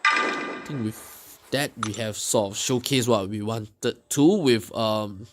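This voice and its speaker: A man giving casual, unscripted game commentary as a video host, explaining things in a hesitant way with pauses mid-sentence. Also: lowish voice. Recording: loud music behind the voice. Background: loud music under the commentary.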